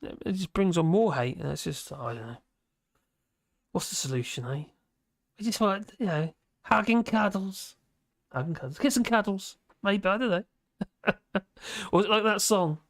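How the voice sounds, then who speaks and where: Male, 50s, livestream host; rambling, self-mocking, gravelly fry, close dry sound. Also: sits high, animated, moderate pace, long pauses, no echo. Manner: chatty, chuckling, self-mocking asides. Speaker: livestream host reading chat. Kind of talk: casual rambling chat. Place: home office at his desk.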